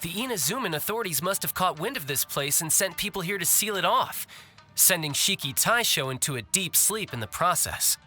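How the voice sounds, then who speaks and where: A man's voice: high-pitched, lively intonation, a little fast, sharp tone, full character voice. A young man voicing a shrewd, cocky fighter in a video game, with a glib, teasing manner. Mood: musing. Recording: music behind the voice.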